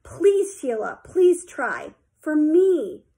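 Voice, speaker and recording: A woman's voice: sits high, animated, moderate pace. A woman voicing an encouraging, insistent male swimming teacher in a children's story, coaxing and emphatic. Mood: earnest.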